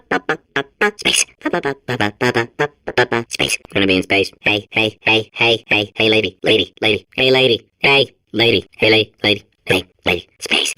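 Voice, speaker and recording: A man's voice: mid-range voice, sharp tone, no echo. A man voicing a manic, childlike robot in a video game, stuttering and compulsively repeating words. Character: manic, childlike male robot sphere obsessed with space. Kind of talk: video game character acting.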